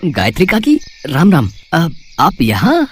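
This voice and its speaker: A man's voice: a little high, huge pitch swings, unhurried, slightly rough, exaggerated voice. Man playing a kind, respectful young villager. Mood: surprised, respectful.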